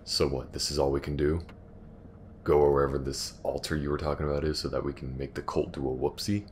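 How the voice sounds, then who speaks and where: A man's voice: a bass voice, flat delivery, brisk, a little mellow, a little hiss or hum. A man playing a blunt, skeptical, watchful detective in an audio roleplay drama.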